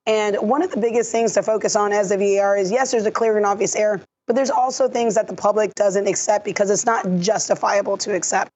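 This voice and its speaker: A woman who has lost her voice, explaining refereeing decisions in a measured, technical way on a podcast. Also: mid-range voice, steady tone, a little mellow, husky, low-quality recording. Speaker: refereeing analyst. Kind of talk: podcast discussion.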